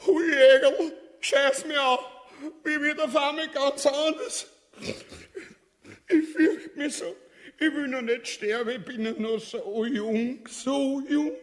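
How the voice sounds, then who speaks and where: Reverberant radio-play coward: very high, whining, self-pitying, Austrian accent. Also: male voice, fairly even intonation, slow. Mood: panicked. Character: whining, cowardly young courtier afraid to die. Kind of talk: radio drama acting. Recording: long echoing reverb.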